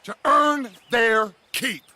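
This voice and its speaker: An actor playing a charismatic American outlaw leader, theatrical and commanding, in a western game. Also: male voice, very high voice, slow, pushed and bright, full character voice. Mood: rousing command. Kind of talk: western video game acting.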